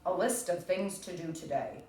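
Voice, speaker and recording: Storybook Toad, played by a woman: earnest, orderly, deliberate, animated pitch, strong room echo. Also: lightly acted. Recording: echoey room, distant mic, faint noise.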